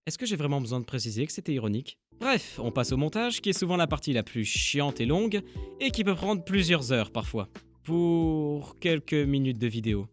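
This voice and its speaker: A man with a fairly high voice narrates a casual vlog. He speaks informally and pokes fun at himself, like an amateur creator addressing his followers. Faint music runs behind him. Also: sing-song, moderate pace. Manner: self-deprecating, informal. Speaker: amateur voice dubber and channel creator.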